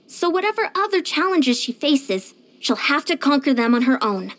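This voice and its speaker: High-pitched, spirited little fitness coach. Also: female voice. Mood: concerned, reflective. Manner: earnest, plainspoken, chatty, confident. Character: short, determined, energetic young female fitness coach. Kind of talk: animated video game character voice lines.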